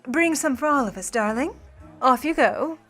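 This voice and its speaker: Sly 1950 Hollywood starlet-thief , female actor, higher-pitched, condescending, syrup over steel, music underneath. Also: lively intonation, normal speed, fairly bright tone. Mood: cool, cutting. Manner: arch, syrupy politeness. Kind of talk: 1950 noir radio-play acting.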